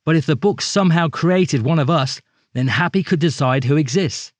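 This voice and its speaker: A man's voice: a little high, normal speed, dry recording, natural voice. A man playing a suspicious storybook character in an audio drama, insistently and dramatically laying out his theory with rhetorical questions. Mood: accusing. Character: suspicious, accident-prone storybook man airing conspiracy theories.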